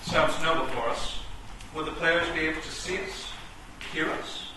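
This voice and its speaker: A man's voice: a little high, fairly even intonation, normal speed, natural voice. An actor playing a lovestruck, timid, courtly male chess piece in a theatrical radio play. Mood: doubtful. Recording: echoey, distant, noisy stage recording.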